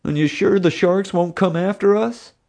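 A man's voice: sits high, moderate pace, vocal fry, a little mellow, voice pushed up. A man voicing a big cowardly security officer, whining and moaning in fear, in an audiobook story. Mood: anxious whimpering.

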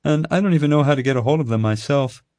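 A man's voice: medium pitch, fast talker, mellow, no room sound, voice pushed up, lightly acted. A man voicing an earnest, anxious young woman zoo worker who pleads for help. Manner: eager, pleading.

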